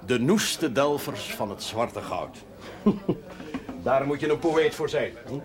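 An actor playing a genial, wryly teasing village priest in a 1970s television drama. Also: male voice, mid-range voice, animated, a little slow, over music, lightly acted.

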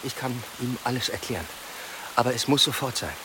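A man's voice: medium pitch, expressive, a little fast, noisy background, close to an everyday voice. An actor playing a desperate, worn-out male police inspector in a radio play, speaking earnestly and urgently.

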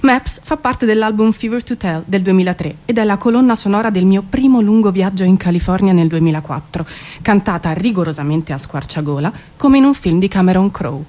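A woman performing a theatrical spoken-word reading, delivering it in a deliberate, rhythmic way. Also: medium pitch. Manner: deliberate, rhythmic, repeating phrases, at times half-sung. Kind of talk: theatrical poetry reading over music. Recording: loud music under the voice. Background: loud music with violin.

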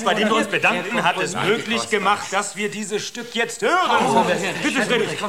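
An excited, ceremonious host in a radio drama, making an announcement. The man's voice is high, with a loud crowd around it. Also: normal speed. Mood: festive, eager. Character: excited male host of a shipboard recital. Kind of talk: German radio drama acting.

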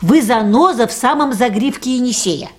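A woman's voice: on the high side, lively intonation, normal speed, close to an everyday voice. Actress as a worried, scolding boatwoman. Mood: anxious, scolding. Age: middle-aged. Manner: folksy, grumbling, exclamatory. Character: worried, motherly, scolding older boat mechanic woman. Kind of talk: 1980s Soviet radio play acting.

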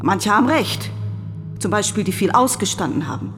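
An actress playing a middle-aged, worried mother of a returned soldier in a radio drama, plaintive and gently reproachful. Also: medium pitch, animated, moderate pace, natural voice. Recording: faint music behind the voice. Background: faint music.

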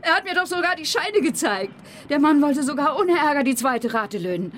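A woman's voice: high-pitched, sing-song, a little fast, a bit bright, slight background noise. A woman playing a defiant, blunt girlfriend of a petty criminal in a naturalistic radio drama, talking colloquially. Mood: defensive, insistent. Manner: blunt, colloquial, evasive under questioning.

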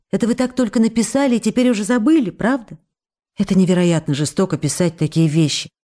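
A woman's voice: medium pitch, mellow, close to an everyday voice. A woman playing an affectionate, restless actress who reads her love letters aloud in a coquettish, pleading, emotive way for a radio play. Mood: hurt, pleading. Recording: radio broadcast with music behind. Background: music.

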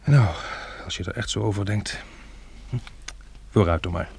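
An actor in a radio drama playing the sceptical, bewildered hero of a disaster story, hesitant and questioning. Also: male voice, fairly low pitch, moderate pace, creaky, a little mellow, husky, exaggerated voice. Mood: sceptical, resigned.

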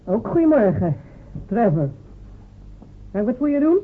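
An actress playing a sharp-tongued female village cobbler and loyal wife, who talks in a dry, teasing, stubborn way. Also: a little high, sing-song, moderate pace, slightly rough. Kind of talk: radio drama acting. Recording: phone-like old radio recording.

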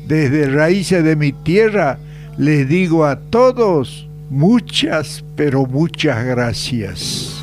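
A man's voice: on the high side, animated, extremely slow. A man with an Argentine accent delivering a folksy, sentimental, nostalgic monologue on the radio. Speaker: radio segment storyteller. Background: music.

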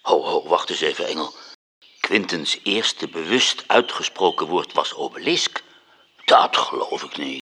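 An actor playing a stern, skeptical superior angel in a radio drama. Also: male voice, lively intonation, unhurried, sharp tone, a little hiss or hum, heavily acted. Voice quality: deep, skeptical. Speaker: listener questioning the narrator.

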